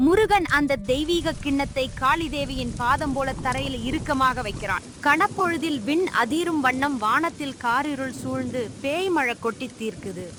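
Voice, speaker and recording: A woman's voice: high voice, moderate pace, few pauses, noisy background. A woman narrator telling a moral story in a dramatic scripted style.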